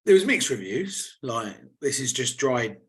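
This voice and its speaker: A man with a slightly hoarse voice, chatting on a podcast in a loose, laddish way. His voice sits at an everyday middle pitch as he tells rowdy stories with plenty of energy. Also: a little slow. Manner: animated, laddish banter. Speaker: podcast co-host. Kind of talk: casual chat.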